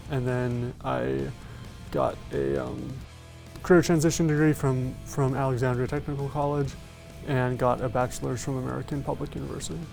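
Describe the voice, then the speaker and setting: Gravelly, halting Minnesota man. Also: medium pitch, level tone, darkish tone, faint music behind. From Fergus Falls, Minnesota. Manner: halting, with many fillers. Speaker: police academy recruit. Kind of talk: interview answers.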